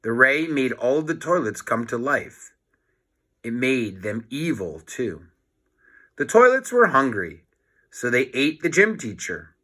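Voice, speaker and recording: A man narrates a children's storybook at a slow, deliberate pace. His voice is bright and mid-pitched, expressive, and he adds plain explanatory asides as he reads. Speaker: storybook reader.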